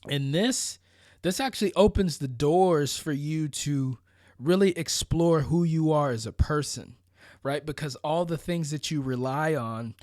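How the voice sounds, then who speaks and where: A man's voice: a little high, a little slow, a little mellow, no echo, clean studio sound. An American man from Florida giving a casual motivational monologue, conversational and emphatic, often checking in with rhetorical questions and asides. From Florida, United States. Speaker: vlogger.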